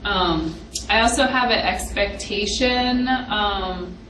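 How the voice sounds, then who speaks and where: A young woman with a quiet voice giving an informal study-session lecture, hesitant with frequent ums. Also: medium pitch, takes it slowly. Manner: hesitant, many ums, laughs softly. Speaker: presenter on aquatic ecology. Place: classroom with hard walls. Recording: echoey classroom from far off, background hiss.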